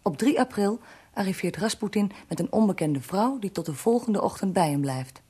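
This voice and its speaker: Casting: sceptical interviewer for a radio drama, slightly rough-edged mid-range voice, inquisitive and businesslike. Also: female voice, mid-range voice, animated, normal speed, mellow. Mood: reading drily. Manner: inquisitive, matter-of-fact. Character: probing, sceptical female radio reporter interviewing historical figures. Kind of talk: radio drama acting as reportage.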